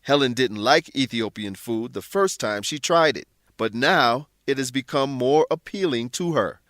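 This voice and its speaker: A man's voice: mid-range voice, fairly bright tone, dry recording, studio quality. A man hosting an English lesson, reading scripted explanations in a didactic way with stressed key words. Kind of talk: scripted instructional narration.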